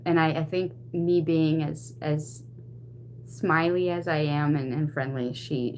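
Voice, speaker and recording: A woman chatting casually to stream viewers, retelling a personal story in an animated, expressive way. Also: sits low, a little hiss or hum. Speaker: livestream host.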